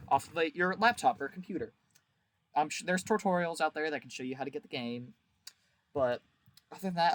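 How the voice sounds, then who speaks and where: A man's voice: mid-range voice, no echo. A man giving a casual, rambling video review as host, with a crude, jokey, exaggerated way of talking.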